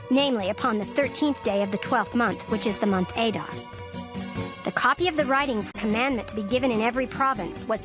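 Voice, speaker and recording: Woman narrating scripture over music. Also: a little high. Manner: measured, formal reading pace. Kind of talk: dramatized scripture reading.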